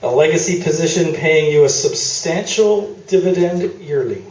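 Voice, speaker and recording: A man's voice: a little high, animated, moderate pace, darkish tone, natural voice. A man playing a dry, needling interviewer in a comic stage radio play, formal and pointed in his questioning. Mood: sardonic. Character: dry, needling male interviewer grilling a guest. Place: small theatre stage, live performance. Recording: echoey stage, distant noisy camera mic.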